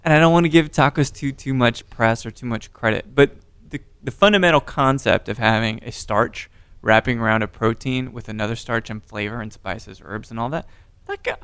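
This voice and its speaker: A man's voice: medium pitch, sing-song, a bit bright, clean tone, no echo. A man hosting a podcast interview, joking and laughing easily in casual chat. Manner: playful, jokey, quick to laugh. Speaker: podcast host.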